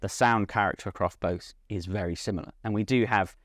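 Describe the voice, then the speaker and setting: A man speaking as a company representative in an interview, giving measured, unscripted technical explanations of a product. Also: lowish voice, a bit bright, no echo, clean studio sound. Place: at a hi-fi trade show.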